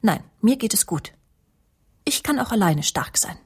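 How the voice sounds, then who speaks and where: An actress playing a proud, easily wounded, sharp-tongued career woman who narrates a radio crime drama in a cool, clipped way. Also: medium pitch, very animated, unhurried, slightly rough, heavily acted. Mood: brooding, self-assured. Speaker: first-person narrator and lead of a radio drama. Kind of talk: radio crime drama acting with inner monologue.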